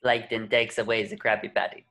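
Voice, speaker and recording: A man's voice: lowish voice, little pitch movement, brisk, pushed and bright. A man narrating the action from a script at a table reading, as scripted stage directions.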